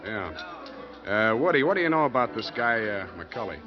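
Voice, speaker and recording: Guy doing a wry noir detective, deadpan, streetwise, old muffled 40s radio w music. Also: mid-range voice, normal speed, lightly acted. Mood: cagey, casual. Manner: deadpan, wisecracking. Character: wry, street-wise hard-boiled private detective. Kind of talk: 1940s radio acting, first-person noir narration.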